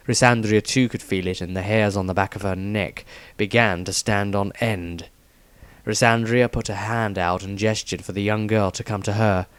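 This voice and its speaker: A man's voice: lowish voice, dry recording. A man reading a scripted fantasy story aloud as narrator, with a dramatic storytelling manner. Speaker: author reading own story.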